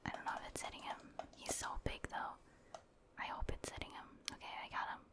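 A woman whispers softly and breathily as she plays a video game for ASMR. She keeps up a casual, murmured play-by-play in short remarks, never using full voice. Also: fast.

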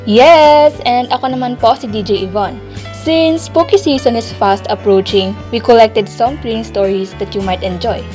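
A woman's voice: on the high side, moderate pace, darkish tone, soft background music. A woman with a Filipino accent co-hosting as a radio DJ in a scripted show intro. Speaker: radio DJ co-host.

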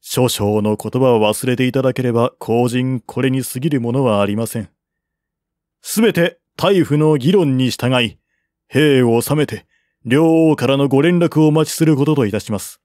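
A man's voice: mid-range voice, moderate pace, darkish tone, no echo, studio quality, lightly acted. Man reading as a shrewd, formal military strategist. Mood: courteous. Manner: formal, reasoned, persuasive. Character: shrewd, calm male military strategist of ancient China.

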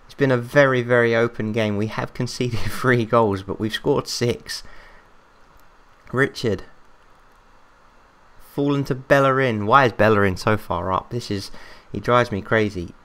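An English man commentating on a football management game in casual chat, excitable and rambling, his voice getting worn out. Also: mid-range voice, unhurried, long pauses. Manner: excitable, rambling, talks to himself. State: losing his voice, coughing.

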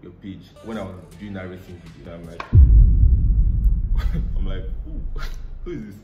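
A man's voice: sits low, narrow, tinny audio, loud music behind the voice. A young man from Nigeria, a YouTuber, chatting playfully and teasing with frequent laughter, filmed on his phone in a living room. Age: young adult. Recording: roomy echoey room.

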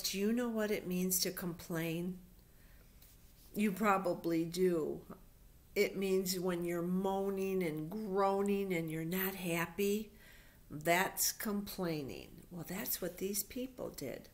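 Middle-aged woman, slightly low voice, very unhurried, children's storyteller, simple patient explanations, deliberate emphasis. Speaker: children's Sunday school storyteller. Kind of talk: reading Bible stories aloud to young children, explaining as she goes. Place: living room at home. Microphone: webcam or laptop microphone at arm's length.

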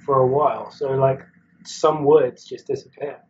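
A man's voice: medium pitch, steady tone, unhurried, a little mellow, dull audio, a little hiss or hum. A man from Wales, chatting as a guest artist over a video call, rambling and hesitant with frequent laughs. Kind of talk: casual chat.

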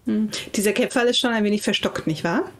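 A woman playing an evasive female impostor posing as a dignitary in a tabletop game, polite and halting. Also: medium pitch, close to an everyday voice. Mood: hesitant. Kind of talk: improvised tabletop role-play.

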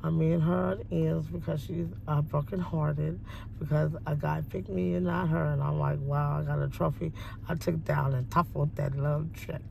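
A woman in her forties talking at length from a parked car, meandering with many ums and pauses. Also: deep voice, steady tone, vocal fry, warm tone, a little hiss or hum, rough recording. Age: 40s. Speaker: interviewee. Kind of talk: rambling personal monologue. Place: parked car by a window, daytime.

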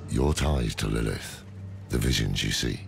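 An actor playing a weary old scholar in a video game, grave and wry, with a gravelly, measured delivery. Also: male voice, a bass voice, background noise, exaggerated voice. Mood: earnest. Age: older. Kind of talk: dramatic video game dialogue and narration.

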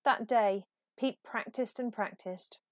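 A woman reading a children's picture book aloud as an expressive, animated storyteller. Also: fairly high pitch, takes it slowly, no room sound, studio quality. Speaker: storybook reader.

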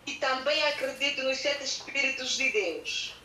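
A woman working as a consecutive interpreter, halting at times as she searches for words. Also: high-pitched, pushed and bright. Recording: echoey room sound.

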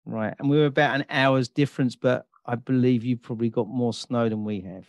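A man's voice: mid-range voice, a little fast, no echo, highs cut off. A middle-aged man hosting a podcast, reading an introduction and then chatting casually with a guest. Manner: reads sponsor list, relaxed questions with fillers. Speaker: podcast host.

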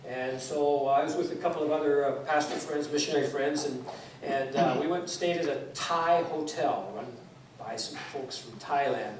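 A man's voice: a little high, normal speed, slight background noise. A man in his sixties, raised in Taiwan, giving a guest sermon as a pastor, telling stories casually with many fillers and restarts. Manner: conversational, with frequent fillers and restarts, gesturing while speaking. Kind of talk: informal sermon storytelling. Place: church sanctuary, indoors. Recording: echoey church hall, distant mic.

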